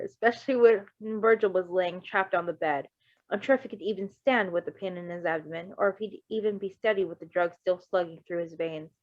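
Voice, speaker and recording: A woman reads a story aloud with an expressive delivery and drops into chatty, sweary asides. The recording is dull and lo-fi. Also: medium pitch. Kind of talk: reading fan fiction aloud with casual chatty asides. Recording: dull lo-fi microphone.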